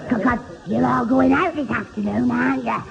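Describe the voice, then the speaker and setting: A man's voice: very high voice, moderate pace, creaky, a crowd in the background, heavily acted. A man playing a dim, cheerful young suitor with a Northern English accent and a muddled stammer in old radio sitcom style. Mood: cheerfully muddled. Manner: stammering, muddled, eager to please. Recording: muffled, noisy old radio recording.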